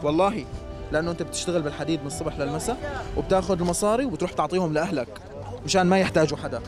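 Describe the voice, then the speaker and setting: A man's voice: fairly high pitch, music in the background. A young man with a Syrian Arabic accent hosting a cheerful street quiz interview outdoors at a scrapyard, talking into a handheld mic. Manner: fast, cheerful, teasing.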